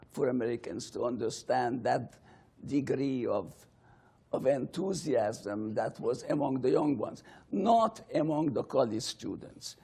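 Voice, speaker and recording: A man lectures emphatically. His voice is gravelly and husky, with a dark, mellow tone. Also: medium pitch. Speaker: panelist. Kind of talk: earnest explanation.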